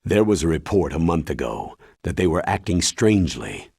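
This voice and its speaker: A man playing a grave, authoritative military commander in a video game, briefing in a deliberate, emphatic way with a husky voice. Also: sits low, sing-song, a little mellow, no echo, clean studio sound, exaggerated voice. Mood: measured, explanatory. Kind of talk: video game cutscene acting.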